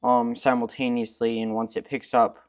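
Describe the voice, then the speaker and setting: A man co-presenting a demonstration, explaining technical details casually in a measured, matter-of-fact manner. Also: mid-range voice, flat delivery.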